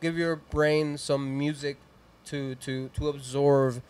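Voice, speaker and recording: Upbeat Mexican-accented studio host. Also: male voice, medium pitch, little pitch movement, slow pace, a little mellow, clear, resonant tone. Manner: rambling, upbeat, plugs sponsors and socials. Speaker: host of a live music stream. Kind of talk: casual chat and shout-outs. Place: small decorated studio room, indoors. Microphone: handheld mic.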